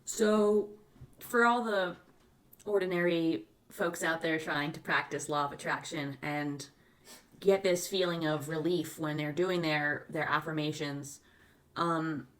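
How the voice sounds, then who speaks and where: Bright-voiced woman asking questions. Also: fairly low pitch. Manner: hesitant, many fillers and restarts when asking. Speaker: interviewer, host. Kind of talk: casual interview questions.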